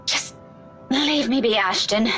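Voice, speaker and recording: A woman's voice: fairly high pitch, takes it slowly, fairly bright tone, soft background music. A woman voicing a sarcastic, exasperated young woman in a visual novel. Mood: stern. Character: young woman, sharp-tongued, fed up. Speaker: game narrator and player.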